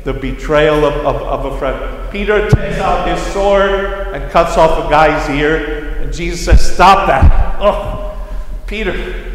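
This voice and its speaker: A middle-aged priest with a Brooklyn accent touched by an Irish lilt, preaching a sermon in a large church and telling the story with acted-out voices and humorous asides. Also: male voice, on the high side, takes it slowly, a bit bright, slight background noise. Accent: Brooklyn with a slight Irish lilt. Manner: storytelling, acting out voices, self-deprecating asides. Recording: echoey church hall.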